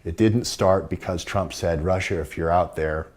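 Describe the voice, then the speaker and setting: A man's voice: low-pitched, steady tone, normal speed, darkish tone. A man hosting a show, giving casual commentary that explains and speculates. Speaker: show host.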